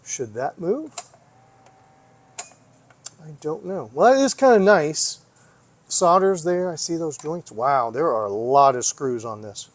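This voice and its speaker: A man's voice: fairly high pitch, expressive, slow, pauses often. A man giving casual hands-on commentary as he tinkers, thinking aloud and often asking himself questions. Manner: musing, talks to himself, asks himself questions. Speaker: vlogger, hobby repair host.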